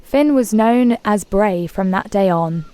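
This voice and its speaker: A woman's voice: medium pitch, animated, unhurried, mellow. A woman reading a children's story aloud as a scripted narration.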